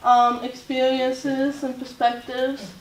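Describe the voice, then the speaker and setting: Woman, from Latvia, informal talk, halting with fillers, far from mic, echoey room, faint background hum. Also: high voice, little pitch movement. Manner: slow, halting, frequent fillers and restarts. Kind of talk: informal presentation to a group. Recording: roomy echo, voice far from mic, faint ambience.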